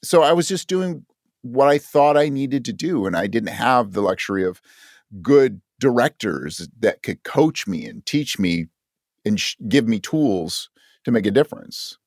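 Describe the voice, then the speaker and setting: A man's voice: mid-range voice, lively intonation, unhurried, no echo, studio quality. A man talking as a guest in a casual interview, reflective and self-deprecating. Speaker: podcast guest, actor and audio drama writer.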